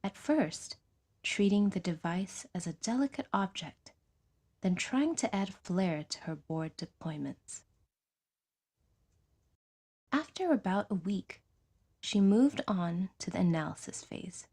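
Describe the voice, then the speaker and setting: A woman's voice: medium pitch, animated, unhurried, darkish tone, breathy edge. A woman reading a science fiction story as a scripted audiobook narration.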